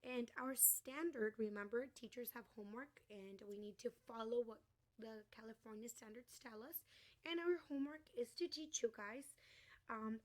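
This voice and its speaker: Hoarse teacher coaxing young kids. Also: female voice, on the high side, a little mellow. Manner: sing-song, encouraging teacher talk to small children. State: losing her voice. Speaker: kindergarten teacher. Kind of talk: online class lesson.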